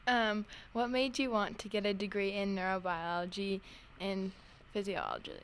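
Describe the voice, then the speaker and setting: A teenage girl with an average-pitched voice hosts a student radio interview. She reads her questions plainly and sounds a little nervous. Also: sing-song, normal speed, a little hiss or hum. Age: teens.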